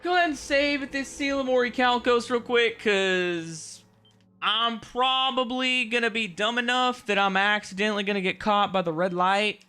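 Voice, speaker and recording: A man's voice: very high-pitched, normal speed, bright, forceful tone, smooth, faint music behind. A young man casually commentating a horror game, joking and swearing as he plays. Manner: joking, swearing, talking to himself. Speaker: gaming streamer. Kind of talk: casual let's-play commentary.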